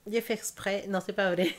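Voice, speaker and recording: Young woman with a spanish accent doing a tarot reading, talks slow, keeps fixing her words. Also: medium pitch, steady tone. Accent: South American Spanish. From South America. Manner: slow careful speech with hesitations and self-corrections. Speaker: tarot reader. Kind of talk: casual tarot reading to camera.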